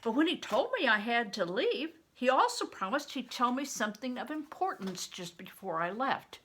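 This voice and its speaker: A woman's voice: fairly high pitch, very animated, normal speed, fairly bright tone, breathy edge, pitched above the performer's own voice, full character voice. A woman voicing a sad, naive orphaned boy in a storybook, speaking plainly and earnestly. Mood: troubled.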